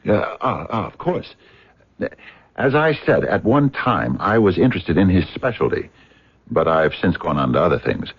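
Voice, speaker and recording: An actor playing an earnest, courteous American physicist in a radio mystery, speaking in a hesitant, wordy, stammering way. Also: male voice, deep voice, sing-song, moderate pace, breathy edge, heavily acted. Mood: eager, courteous. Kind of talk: radio drama acting. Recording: dull, muffled radio drama recording.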